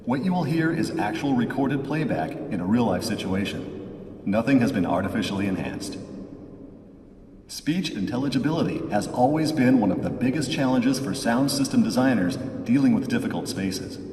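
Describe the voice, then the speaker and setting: Man narrating through a church loudspeaker. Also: mid-range voice, far from the mic. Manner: measured, even, promotional delivery. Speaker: product demo narrator. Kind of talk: scripted corporate narration. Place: large reverberant church. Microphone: room microphones at a distance from a loudspeaker. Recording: played back through a loudspeaker in a reverberant church.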